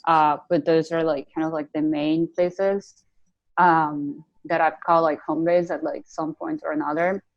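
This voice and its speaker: A woman's voice: deep voice, little pitch movement, measured pace, dull audio. A woman from Venezuela with a Venezuelan Spanish accent, chatting casually as a guest and rambling with lots of "like". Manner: rambling, heavy on "like" fillers. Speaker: guest artist. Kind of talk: casual interview chat.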